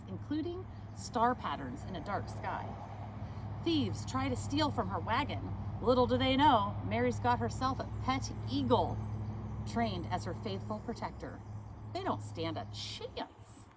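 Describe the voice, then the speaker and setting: A woman reading a picture book aloud, expressive, stopping now and then to ask the listener questions. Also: medium pitch, unhurried, noisy background. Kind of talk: read-aloud storytime.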